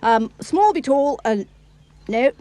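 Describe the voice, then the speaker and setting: A woman's voice: high-pitched, lively intonation, takes it slowly, dark tone, dry recording. A woman voicing an eager, bumbling little cartoon busybody girl, fussy and politely old-fashioned. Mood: flustered, dithering. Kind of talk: fan-dubbed children's cartoon acting.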